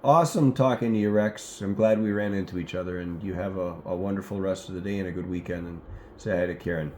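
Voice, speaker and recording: Man, low pitch, soft dark tone, casual rambling ham radio talk, faint noise behind. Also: fairly even intonation, quick pace. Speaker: amateur radio operator.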